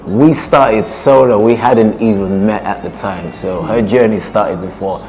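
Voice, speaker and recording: A man with a low voice explains his songwriting at an easy pace. He often slows right down on words he wants to stress. Soft music plays behind him. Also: low-quality recording. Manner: measured, explanatory, slows down for emphasis. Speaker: musician, one half of a duo. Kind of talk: relaxed interview talk.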